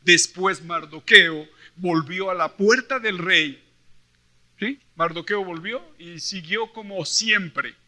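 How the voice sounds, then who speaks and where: High, bright-voiced Mexican preacher man. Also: slow. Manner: animated storyteller, acts out quoted dialogue; emphatic, with rhetorical questions to the listeners. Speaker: preacher at a church convention. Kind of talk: sermon mixing Bible reading and personal stories.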